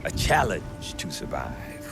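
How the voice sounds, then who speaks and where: An actor playing a gruff middle-aged trader who talks with dry humor. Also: male voice, deep voice, slow pace, exaggerated voice. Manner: wry, dry humor, lively delivery. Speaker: merchant trader. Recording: game cutscene with music behind.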